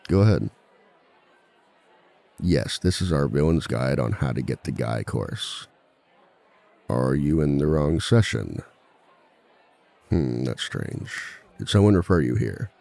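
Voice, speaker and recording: A man playing a composed, dry-witted villain who teaches a seminar in a scripted ASMR roleplay, with a measured, polite authority and a gravelly voice. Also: animated, mellow, heavily acted. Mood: patient, dry. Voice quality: very deep, gravelly. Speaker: seminar instructor.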